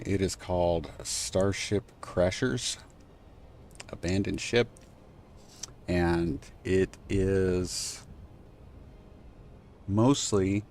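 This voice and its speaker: A man streaming a game from home, thinking aloud and answering chat in a soft, unhurried voice. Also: gravelly, slight background noise. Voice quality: low, soft, relaxed voice. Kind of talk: casual live-stream gameplay chat.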